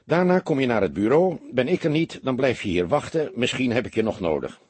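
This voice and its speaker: A male narrator plays a commanding police detective in a crime audiobook. The voice is very husky and animated, close and dry, with brisk orders and impatient, probing questions. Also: mid-range voice, no echo. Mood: businesslike, instructing. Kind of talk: audiobook character dialogue.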